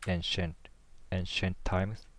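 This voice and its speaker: A man with a Japanese first language, talking slowly in a low voice into a headset, halting and sounding out words under his breath. Keyboard clicks and typing sit behind him in a small room. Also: flat delivery, a bit bright, no room sound. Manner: hesitant, sounding out words, muttering to himself. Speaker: language learner streaming practice. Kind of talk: casual self-talk while studying.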